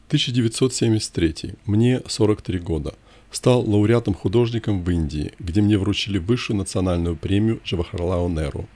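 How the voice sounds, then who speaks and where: A man narrating a scripted first-person memoir in short, measured, declarative sentences. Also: on the low side, a little mellow.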